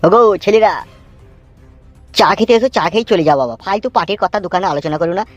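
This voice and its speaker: A woman's voice: medium pitch, fast talker, no room sound, highs cut off, faint music behind, natural voice. A woman voicing an irritable older tea-stall keeper in a comic cartoon, scolding coarsely. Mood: wary, pleading. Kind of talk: comic cartoon dialogue in rural dialect.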